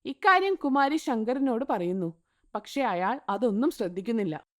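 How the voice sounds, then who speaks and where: A woman narrating an animated moral story in a scripted storybook style. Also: a little high, moderate pace. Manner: even, storytelling tone.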